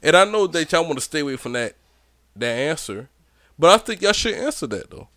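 A man in his thirties hosting a casual podcast, bantering with guests in loud, laughing, profane talk. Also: a little high, sing-song, brisk. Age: 30s. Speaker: podcast host.

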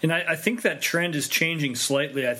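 A man's voice: on the high side, brisk, sharp tone. A man hosting a casual interview, talking conversationally and asking loose, rambling questions. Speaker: interviewer, host.

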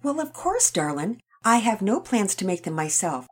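A woman's voice: mid-range voice, big pitch swings, moderate pace, slightly rough, voice pushed up. A woman voicing a gracious, playful mother in a children's audio story. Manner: warm, teasing.

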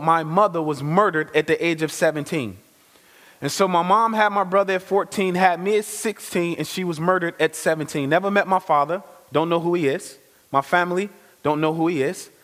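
A man giving a lively, conversational testimony sermon as a guest speaker, full of slang. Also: fairly high pitch. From Chicago. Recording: reverberant hall.

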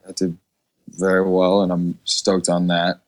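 A man's voice: low-pitched, completely flat delivery, unhurried. A man talking casually as a musician guest on a podcast.

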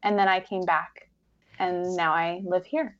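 Casting note: woman, thirties, Pacific Northwest-raised, relaxed guest with many fillers, somewhat dull lo-fi sound. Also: on the low side, measured pace. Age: 30s. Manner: warm, chatty, frequent fillers and laughs. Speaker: guest, wedding coach. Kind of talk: casual podcast chat.

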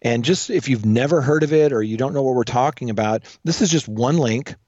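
A man's voice: medium pitch, a little fast, darkish tone, no echo. A man co-hosting a podcast, giving listeners casual, practical advice. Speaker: podcast co-host. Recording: dull, lo-fi, dry sound.

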